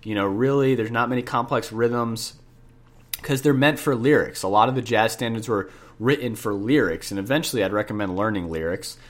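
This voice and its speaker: A man's voice: fairly low pitch, fairly quick. Stuffy-nosed man teaching jazz piano. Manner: chatty, repeats points for the listener, self-corrects. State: stuffed up nose. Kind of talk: casual spoken lesson.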